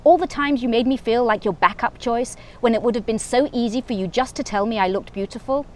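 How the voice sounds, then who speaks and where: A woman voicing a hurt, composed young woman who lists old grievances in a pointed, measured way. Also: a little high, lightly acted. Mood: reproachful.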